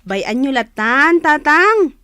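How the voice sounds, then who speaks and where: An actress with an Ilocano accent playing a dutiful, reassuring young daughter in a radio drama. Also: female voice, high-pitched, very animated, slow, sharp tone, no room sound, studio quality, heavily acted.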